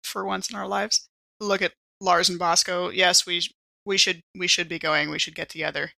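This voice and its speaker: A woman's voice: lowish voice, level tone, normal speed, gravelly, sharp tone. A woman role-playing conversationally as a player in a tabletop game, with composed, articulate phrasing.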